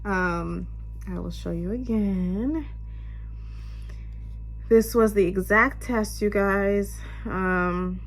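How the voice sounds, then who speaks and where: Congested young woman chatting to camera. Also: mid-range voice. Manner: chatty, lots of "um" and "you guys". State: congested, a little nasal. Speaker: vlogger. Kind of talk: casual story time to camera. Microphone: camera on tripod.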